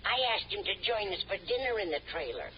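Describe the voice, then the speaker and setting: A woman's voice: mid-range voice, animated, fast, slight background noise, lightly acted. An actress playing a dotty, absent-minded, kindly older woman in an old radio sitcom, vague and fluttery in manner. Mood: chatty, muddled. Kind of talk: 1950s radio situation comedy acting. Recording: muffled old radio recording, audience behind.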